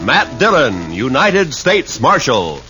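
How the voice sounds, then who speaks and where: A man's voice: medium pitch, huge pitch swings, takes it slowly, rough recording. A man announcing a 1950s radio western in a dramatic, emphatic scripted style. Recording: old radio recording with loud music.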